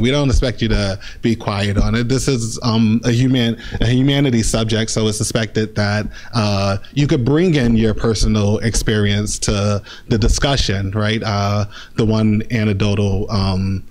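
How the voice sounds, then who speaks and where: Young man addressing students, halting. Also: fairly low pitch. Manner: halting, many filler sounds. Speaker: graduate fellow leading a session.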